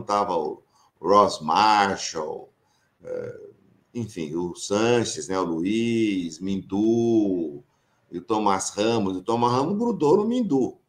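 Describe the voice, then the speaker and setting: A middle-aged Brazilian man with a São Paulo countryside accent, a professor reminiscing casually, laughing often and acting out quoted dialogue. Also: on the low side. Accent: Brazilian Portuguese, São Paulo countryside. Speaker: professor and association founder.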